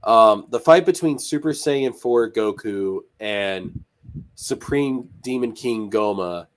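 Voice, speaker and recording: A man hosting a casual anime review, congested from a cold, animated and saying "bro" a lot. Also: lowish voice, slow pace. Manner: animated, stretches words for emphasis, says "bro". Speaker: YouTube host.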